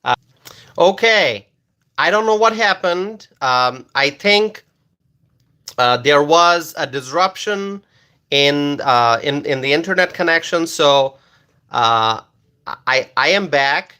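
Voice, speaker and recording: Male livestream host from Bangladesh, slow, halting delivery, reads chat comments aloud. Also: a little high, animated, pushed and bright, clean tone. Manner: slow, halting, many ums and uhs.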